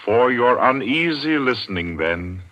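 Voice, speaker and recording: A man narrating a 1940s radio thriller in a formal, deliberate, dramatic manner. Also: medium pitch, animated, pushed and bright, lo-fi sound. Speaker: announcer and narrator. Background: dramatic orchestral music.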